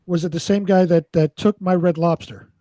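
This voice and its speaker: A man's voice: sits high, steady tone, moderate pace, mellow, no room sound. A man co-hosting with short, casual interjections over faint background noise.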